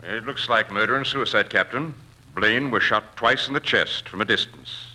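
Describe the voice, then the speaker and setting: A matter-of-fact medical examiner in an old radio crime show. His lowish voice is very sharp and forceful, his manner clinical. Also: moderate pace, low-quality recording. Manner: clinical, precise. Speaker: actor in a radio drama. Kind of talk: 1940s radio acting.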